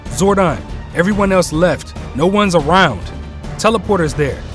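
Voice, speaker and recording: A man's voice: high-pitched, lively intonation, moderate pace, fairly bright tone, soft background music. A man playing an urgent, loyal young hero in the thick of battle in an audio drama. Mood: desperate. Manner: urgent, strained.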